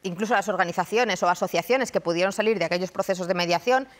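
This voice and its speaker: A woman in her thirties from Madrid speaks in a fairly low voice, choosing her words with care. She restarts phrases as she thinks, in a calm, reflective interview tone. It sounds like a TV studio. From Madrid, Spain. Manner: thoughtful, measured, frequent restarts. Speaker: interview guest, university researcher and lecturer. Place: television studio.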